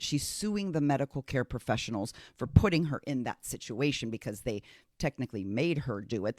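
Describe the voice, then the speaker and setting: A man talks through a criminal trial in a casual, opinionated style. His voice sits at an average pitch and he stresses points emphatically, often repeating phrases. The sound is dry, with very little room to it. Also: darkish tone, no room sound. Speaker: true crime commentator.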